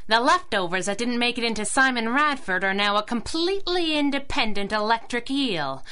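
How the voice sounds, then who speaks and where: An actress playing a spirited, sassy masked sidekick with punchy delivery in a 1930s-style radio drama. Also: female voice, a little high, animated, moderate pace, pushed and bright, clear, resonant tone. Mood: brisk.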